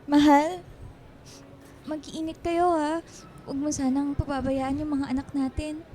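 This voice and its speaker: Woman acting a high-voiced, emotional pleading wife. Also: flat delivery, a little slow, mellow, a little hiss or hum. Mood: worried, pleading. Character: tearful loving wife reluctant to leave her family. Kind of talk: radio drama acting.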